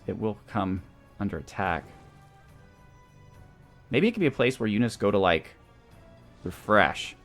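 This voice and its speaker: A man's voice: low voice, fairly bright tone. A man hosting a video game playthrough, talking casually and thinking aloud as he weighs his options. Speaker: host of a gaming series. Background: background music.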